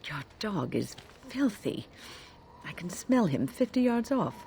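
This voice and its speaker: An actress playing a formal, fastidious woman companion in a fantasy video game, speaking in measured phrases. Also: medium pitch, big pitch swings, darkish tone, a little hiss or hum. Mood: disapproving. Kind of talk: video game dialogue acting.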